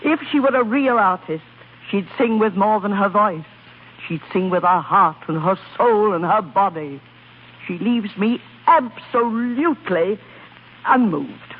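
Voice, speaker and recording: An actress playing a vain, worldly older marchesa in 1930s radio drama style, arch, grand and coquettish. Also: female voice, medium pitch, expressive, a little slow, husky, phone-quality sound. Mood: disdainful. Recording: thin, noisy 1930s radio recording.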